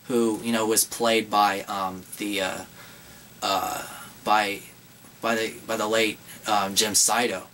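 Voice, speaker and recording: A man's voice: fairly low pitch, pushed and bright, distant mic, a little hiss or hum. A young man co-hosting a casual horror movie chat in a small room, rambling with many fillers and restarts. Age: young adult. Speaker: horror channel co-host.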